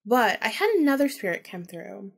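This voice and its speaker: A woman's voice: on the high side, sing-song, normal speed, vocal fry, slightly rough. A woman podcast host telling a personal story casually, informally acting out remembered bits of dialogue.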